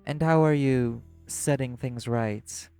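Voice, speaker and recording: A man's voice: medium pitch, slow. Man acting guarded socialite crime lieutenant over music. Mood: tense, probing. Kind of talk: dramatic audio roleplay acting.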